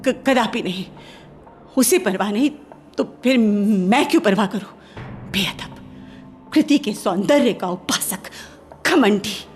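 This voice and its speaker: A woman's voice: mid-range voice, lively intonation, slow, pauses often, faint music behind. An actress playing a proud, flustered young woman in a radio play, speaking theatrically with hesitant, repeated words. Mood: indignant denial. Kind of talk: radio play acting in Hindi.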